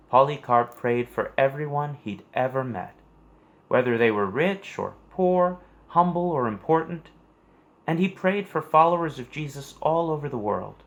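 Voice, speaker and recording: A man reading a scripted story aloud for children in an expressive storytelling manner. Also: medium pitch.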